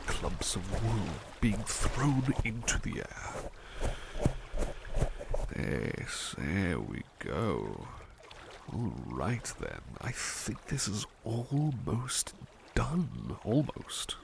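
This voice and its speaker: A man playing a devoted, tender butler in an ASMR roleplay, murmuring softly and a little hesitantly to the listener. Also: fairly low pitch, huge pitch swings, drawn-out words, gravelly, noisy background, full character voice. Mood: gentle, absorbed. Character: devoted, tender male butler who loves painting.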